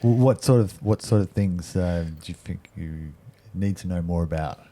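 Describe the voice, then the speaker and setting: A man's voice: low-pitched, moderate pace, warm tone. A man interviewing someone casually, tentatively rephrasing his questions as he goes.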